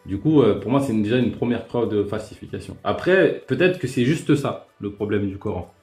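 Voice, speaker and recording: A man with a fairly low voice lays out his points step by step. He hesitates often and restarts his sentences, and faint music plays under the live-stream call. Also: expressive, brisk, a little mellow. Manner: hesitant, many fillers and restarts, reasoning step by step. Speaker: host. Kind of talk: calm religious debate on a live stream. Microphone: remote live-stream call.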